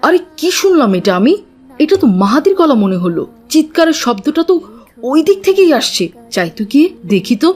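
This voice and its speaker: A woman acts out a young boy in a religious cartoon. The voice is high and very animated. He sounds earnest, worried and pleading. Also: a little fast. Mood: alarmed, curious. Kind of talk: Bengali Islamic cartoon dubbing.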